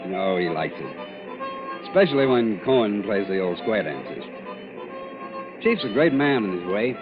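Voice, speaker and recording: A deferential, chatty right-hand man to a rich boss, his mid-pitched voice very lively. The vintage radio recording is dull, with music behind. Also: unhurried. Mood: apologetic, chatty. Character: apologetic, loyal, talkative male aide to a tycoon. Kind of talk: 1940s radio acting.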